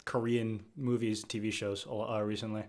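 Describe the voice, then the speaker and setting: A man hosting a podcast, chatting in a relaxed way, cursing casually and laughing as he tells stories. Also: fairly low pitch, normal speed, crowd noise. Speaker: podcast host. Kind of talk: casual chat.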